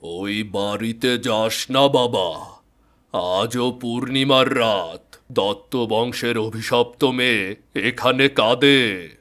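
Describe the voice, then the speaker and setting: Slow, stern old village man played by a man. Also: medium pitch, a bit bright. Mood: grave. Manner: slow, drawn-out warnings. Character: stern, fearful old village man guarding haunted house.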